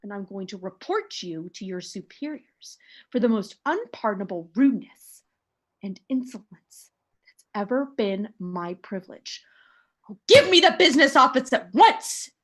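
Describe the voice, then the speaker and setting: A woman's voice: mid-range voice, very animated, normal speed, fairly bright tone. A woman playing a nervous, demanding bedridden invalid in a radio play, fretful, indignant and melodramatic. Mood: indignant, haughty.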